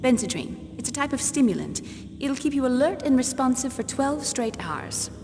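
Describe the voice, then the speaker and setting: An actress playing a proud, no-nonsense military scientist in a video game, precise and clinical. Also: female voice, sits high, expressive, moderate pace. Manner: precise, clinical, matter-of-fact. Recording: very reverberant hall, loud background noise.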